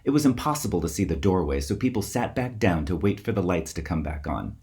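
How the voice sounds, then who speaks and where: A man reading a children's story aloud as its author, with expressive, theatrical emphasis. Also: low voice, fairly quick. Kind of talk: scripted storybook narration for children.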